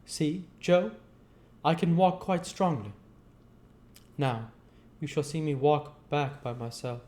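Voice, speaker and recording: A man plays an earnest young storybook gentleman, pitched noticeably high. He speaks with affectionate, grateful sincerity and educated phrasing. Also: takes it slowly. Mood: eager.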